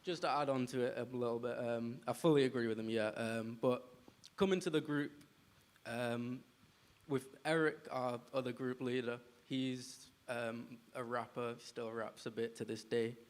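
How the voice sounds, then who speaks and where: A young man with a mid-pitched voice talks frankly on a panel at a live event. He pauses often with "um" but keeps an open, honest tone. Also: steady tone. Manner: frank, hesitant, many "um"s. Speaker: panel speaker. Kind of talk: casual panel talk.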